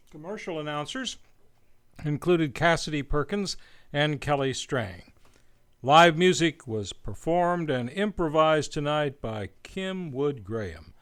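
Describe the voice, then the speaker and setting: A man's voice: a little high, fairly bright tone. A man announcing scripted radio show credits in a formal, polished delivery.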